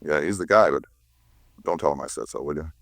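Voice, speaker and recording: An actor playing an evasive, cocky American small-time crook, slangy and defensive, in a 1950s radio crime drama. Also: male voice, a bass voice, brisk, dry recording, clean studio sound. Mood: anxious, pleading.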